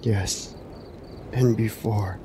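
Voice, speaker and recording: An actor playing a haunted, weary warrior in a fantasy radio drama, speaking gravely and haltingly. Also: male voice, fairly low pitch, steady tone, mellow, a little hiss or hum. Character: broken, haunted brave warrior, solemn and weary.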